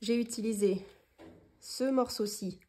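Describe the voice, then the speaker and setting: A woman's voice: fairly high pitch, fairly even intonation, unhurried, dark tone, slight background noise. A woman from France with a head cold, chatting through a casual papercraft tutorial and rambling with many fillers as she shows her materials. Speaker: crafting tutorial host. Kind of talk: casual hands-on craft tutorial.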